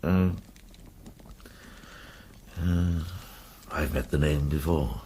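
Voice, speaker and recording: An actor in a radio drama playing a worldly old monk and former crusader, gruff but tender, with a gravelly, halting, reflective delivery. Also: male voice, rumbling low, mellow, slight background noise, full character voice. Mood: hesitant, probing.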